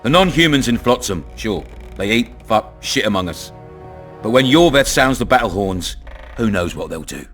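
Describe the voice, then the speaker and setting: An actor with a British accent playing a crude, cunning, menacing town commandant in a video game. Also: male voice, on the low side, very animated, normal speed, a bit bright, faint music behind. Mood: forceful, contemptuous. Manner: domineering, coarse, swaggering. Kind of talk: video game dramatic dialogue.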